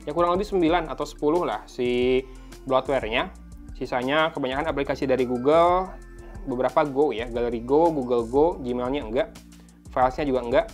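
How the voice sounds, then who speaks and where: Indonesian man reviewing gadgets over music. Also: mid-range voice. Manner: conversational, informal. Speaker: tech reviewer, video host. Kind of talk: casual gadget review commentary.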